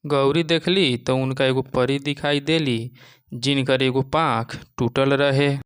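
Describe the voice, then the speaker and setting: A man's voice: mid-range voice, fairly even intonation, slow pace, clear, resonant tone. A man with a Bhojpuri accent narrating a scripted moral story.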